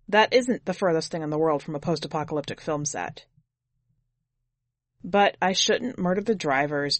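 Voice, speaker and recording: A woman's voice: low voice, no room sound. A woman narrating in the first person as a jaded, blunt twenty-year-old drifter, wry and foul-mouthed, in an audiobook story.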